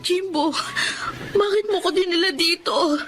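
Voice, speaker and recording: A woman's voice: very high-pitched, a little slow, loud music behind the voice. An actress playing a frightened young woman pleading with her captor. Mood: desperate. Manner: pleading, desperate.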